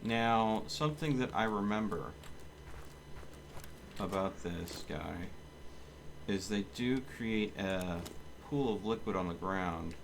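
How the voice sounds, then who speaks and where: Low-pitched man live-streaming a video game. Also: takes it slowly, gravelly, long pauses, a little hiss or hum. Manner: thinks aloud, talking to viewers. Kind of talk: casual live game commentary.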